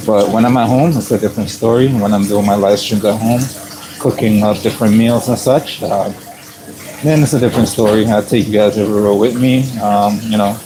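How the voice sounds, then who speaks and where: A man with a raspy voice casually narrating his work as a chef on a live stream from a commercial kitchen, clearing his throat often between ums. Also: lowish voice, low-quality recording. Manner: relaxed play-by-play, frequent throat clearing and ums.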